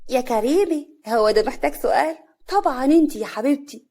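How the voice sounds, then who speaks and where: An older, domineering mother-in-law from an audio story, acted by a woman, speaks in a high voice with an Upper Egyptian accent. She scolds and mocks with open scorn. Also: fairly quick, a little mellow, close to an everyday voice. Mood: mocking. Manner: scolding, sarcastic.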